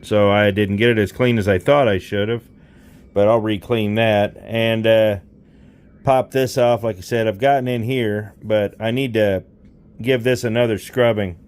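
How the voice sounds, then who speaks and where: A man's voice: on the low side, fairly even intonation, measured pace, a little mellow, smooth. Casual man thinking aloud while he works. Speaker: host of a radio repair vlog. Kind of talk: casual hands-on demonstration, talking while working.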